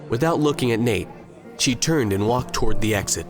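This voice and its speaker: A man's voice: mid-range voice, animated, fairly quick. Man narrating fiction over guitar music.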